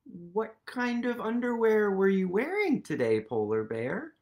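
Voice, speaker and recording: A man voicing a kind, helpful mouse in a picture book, gentle and questioning. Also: high-pitched, very animated, a little slow, pitched above the performer's own voice, full character voice. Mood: curious. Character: kind, helpful mouse friend of a polar bear.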